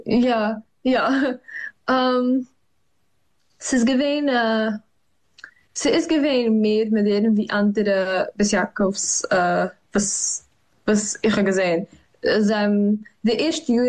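A young woman, answering interview questions in a halting way, with many fillers and restarts. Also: mid-range voice, a little mellow. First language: Yiddish. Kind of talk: hesitant conversational interview.

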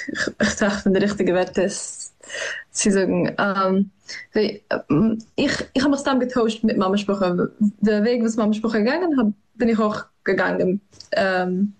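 A young woman, answering interview questions in a halting way, with many fillers and restarts. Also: mid-range voice, creaky, rough recording. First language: Yiddish. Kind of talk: hesitant conversational interview.

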